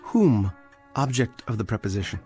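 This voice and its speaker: An actor playing a condemned, bookish librarian in a radio drama, measured and precise, with wry, pedantic defiance. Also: male voice, lowish voice, lively intonation, dark tone, faint music behind, close to an everyday voice. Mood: dry composure.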